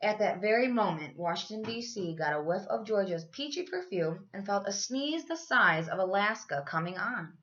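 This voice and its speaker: A woman reads a picture book aloud to children in the manner of a teacher. Her voice sits at an average pitch and she tells the story expressively. The recording is slightly dull and lo-fi. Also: moderate pace, few pauses. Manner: animated, acts out dialogue. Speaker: teacher reading aloud to third graders. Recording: dull, lo-fi sound.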